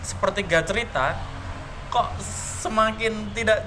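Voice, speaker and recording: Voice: mid-range voice, a little slow. An easygoing co-host of a film review, chatting casually and finishing the other host's sentences. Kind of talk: casual chat. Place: public place with traffic. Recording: traffic noise behind.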